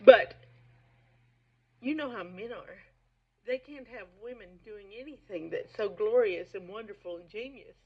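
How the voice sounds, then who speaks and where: A woman giving informal literary commentary in an emphatic, opinionated and somewhat halting way. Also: mid-range voice, animated. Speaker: reader and commentator. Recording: dull, muffled lo-fi recording.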